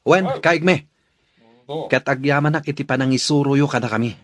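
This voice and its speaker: A man's voice: medium pitch, moderate pace, natural voice. A man playing an earnest, respectful young fighter in a radio drama, speaking with deference. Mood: eager, deferential.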